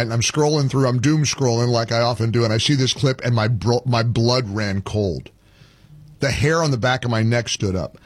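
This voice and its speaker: A man's voice: mid-range voice, husky, dry recording. A man hosting a talk radio show, telling a story in a dramatic, incredulous way. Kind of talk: opinionated talk radio monologue.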